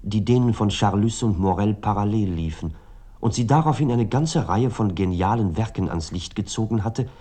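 A man narrating scripted literary prose, reading long sentences in a formal, measured way. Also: lowish voice, mellow, husky.

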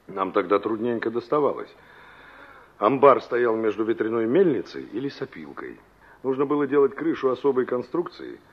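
A man's voice: medium pitch, sing-song, normal speed. A man acting a young engineer and former carpenter in a 1960s radio drama, conversational and reflective, searching for words as he reminisces. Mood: reminiscing. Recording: dull, band-limited old radio recording.

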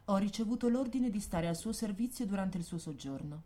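An actress playing a dutiful, naive, robotic official escort in a dystopian science-fiction radio drama, formal and literal in manner. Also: female voice, medium pitch, expressive, brisk, warm tone, close to an everyday voice. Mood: formal, matter-of-fact.

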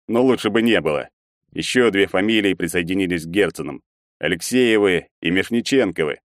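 A gruff, hostile nobleman who growls and hisses his words, as a male reader plays him. The voice stays low. The sound is dry and close. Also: brisk, no room sound, natural voice. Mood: grim. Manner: growling, through gritted teeth.

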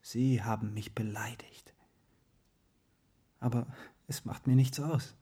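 A man's voice: mid-range voice, steady tone, slow pace, husky. An actor playing an intense, dreamy young man confessing himself with impassioned drama in a radio play. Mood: brooding, subdued. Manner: impassioned, dramatic, swinging between hushed and ecstatic. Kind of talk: German radio drama acting.

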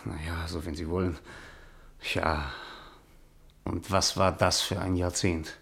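A world-weary novelist of middle years in a radio drama. His voice sits fairly low, and he speaks in a cultured, hesitant manner with proud, self-pitying irony. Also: little pitch movement, slow pace, gravelly, fairly bright tone, heavily acted. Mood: nostalgic, reflective. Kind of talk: German radio play acting.

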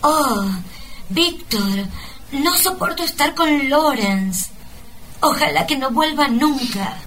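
Casting: passionate cheating wife, extremely high, piercing, drawn-out, radio comedy. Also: female voice, lively intonation, a bit bright, soft background music, exaggerated voice, rough recording. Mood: ecstatic, moaning. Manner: exaggerated, moaning, melodramatic. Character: swooning, unfaithful wife in throes of passion. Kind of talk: comic radio play acting.

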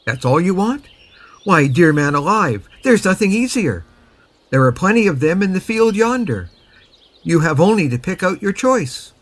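A man plays a cordial English livestock breeder in a comic folk tale. His high voice moves up and down a lot as he speaks bluntly and emphatically. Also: very animated, a little slow, heavily acted. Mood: generous, breezy.

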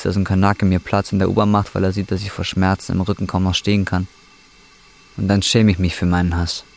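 A man's voice: low-pitched, creaky, a little mellow, slightly rough, full character voice. An actor playing a troubled, bitter man in his twenties, brooding and intense in radio drama narration and dialogue. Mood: bitter, ashamed. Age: 20s.

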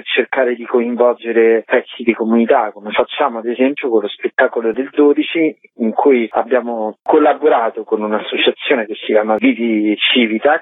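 A man giving an explanatory radio interview as a guest festival director, on a remote call. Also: medium pitch. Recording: muffled echoey phone line, rough sound.

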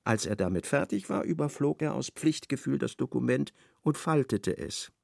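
Ein Mann als Erzähler eines literarischen Hörspiels. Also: male voice, medium pitch, very animated, normal speed, creaky, darkish tone, dry recording, studio quality, exaggerated voice. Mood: measured narration. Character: expressive male narrator of a literary radio play. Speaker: narrator. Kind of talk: literary radio play narration.

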